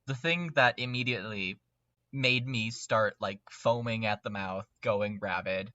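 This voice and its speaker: Excitable podcast guy, bright forceful voice, emphatic, really dry sound thats a bit dull. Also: fairly low pitch, no room sound. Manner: animated, emphatic, quick to laugh. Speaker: podcast panelist. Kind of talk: casual, excitable fan chat.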